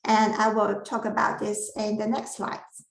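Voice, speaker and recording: Creaky-voiced woman lecturing, non-native English speaker. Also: mid-range voice, completely flat delivery, roomy sound, slight background noise, low-quality recording. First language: not English. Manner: careful, explanatory, frequent "um" and "uh" pauses. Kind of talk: academic masterclass presentation.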